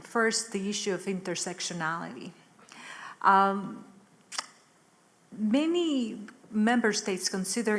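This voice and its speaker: Measured ambassador woman, lower voice. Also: a bit bright. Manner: measured, orderly, lists points. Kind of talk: formal conference statement.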